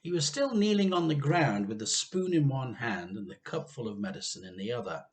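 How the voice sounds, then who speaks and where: Animated British man reading a storybook. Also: mid-range voice. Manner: dramatic, deliberate storytelling. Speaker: teacher reading aloud. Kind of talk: animated children's storybook narration.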